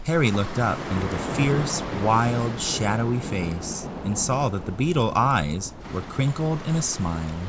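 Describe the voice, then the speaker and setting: Male narrator, low pitch, scripted storytelling, audio drama, noisy ambient rumble behind. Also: expressive, measured pace, hardly any pauses.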